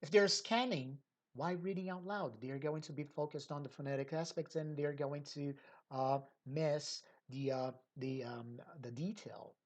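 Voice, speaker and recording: A woman's voice: low voice, measured pace, a little mellow. A woman teacher trainer giving an unscripted explanatory lecture, stressing and repeating key points and often checking in with listeners. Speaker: teacher trainer hosting an educational episode.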